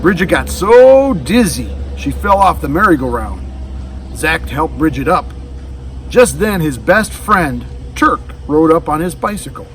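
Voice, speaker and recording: Animated man reading a children's story aloud. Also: a little high, unhurried. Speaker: storybook reader. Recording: distant mic with faint background noise.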